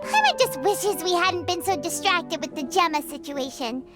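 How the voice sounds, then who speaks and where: A woman's voice: very high-pitched, lively intonation, moderate pace. A woman voicing a tiny, bubbly and pushy female fairy companion in a video game, who talks of herself in the third person and pleads in a whiny way. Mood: eager. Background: game music.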